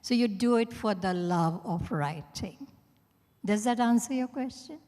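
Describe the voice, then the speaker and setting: A woman's voice: on the high side, measured pace, warm tone. A woman answering as an author on a panel, unscripted, in a candid, plain-spoken, conversational way. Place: large indoor event hall at a hotel. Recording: echoey event hall, long reverb.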